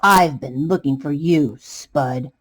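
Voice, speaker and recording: A woman plays a male eggplant from a children's storybook. He is gruff yet contrite and speaks deliberately, with restraint, pitched well down. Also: full character voice. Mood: stern.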